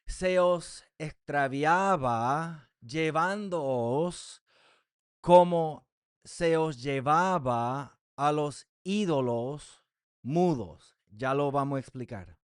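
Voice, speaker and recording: A man preaching in his second language at an unhurried, careful pace. His voice sits a little high and comes through smooth and clean, close to the mic in a dry space. He reads scripture in measured phrases and often pauses with fillers. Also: dry recording. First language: English. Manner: slow, deliberate reading of scripture with frequent fillers. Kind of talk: Bible teaching sermon.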